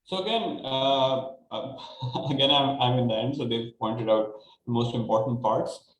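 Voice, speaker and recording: A man's voice: mid-range voice, measured pace, soft background music. A man with a Pakistani accent talking informally as a panelist, mixing English and Urdu. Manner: switches between English and Urdu. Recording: echoey room with faint noise.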